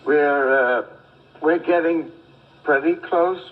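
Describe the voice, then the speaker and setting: An actor playing a naive, eager and deferential angel in a 1940s radio drama, hesitant and folksy, full of wonder. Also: male voice, fairly high pitch, slow. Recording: tinny, narrow 1940s radio recording.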